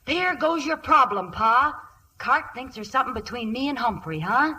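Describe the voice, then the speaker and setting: Tomboy Western daughter: American, plain-spoken, wisecracking, bright and animated, old-time radio comedy. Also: female voice, high-pitched, normal speed. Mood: teasing, scheming. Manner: rustic Western slang, wisecracking. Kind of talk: 1950s radio comedy acting. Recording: old radio broadcast recording.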